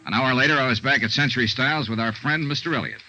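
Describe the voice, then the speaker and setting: An American actor playing a brisk, hard-nosed insurance investigator and narrator in a 1950s radio serial, with a clipped, dry, businesslike delivery. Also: male voice, medium pitch, sharp tone, a little hiss or hum. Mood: brisk, narrating. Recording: muffled 1950s radio recording.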